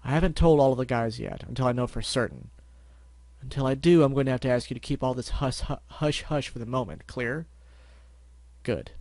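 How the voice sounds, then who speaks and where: A man voicing a calm, serious lavender unicorn stallion who questions others in a measured, firm, matter-of-fact way. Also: medium pitch, no echo, close to an everyday voice.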